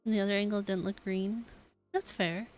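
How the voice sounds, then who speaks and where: A woman's voice: medium pitch, fairly even intonation, moderate pace, darkish tone. A woman streaming a game, reacting in casual, chatty, giggly asides. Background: game music.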